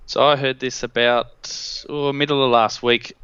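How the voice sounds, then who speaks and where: A man's voice: medium pitch, level tone, a little slow, sharp tone, smooth, no room sound. An Australian man in his thirties chatting casually as an interview guest, on a headset mic over a slightly dull video call. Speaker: guest, amateur radio repeater builder. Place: indoors in a plain room, video call. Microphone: headset boom mic.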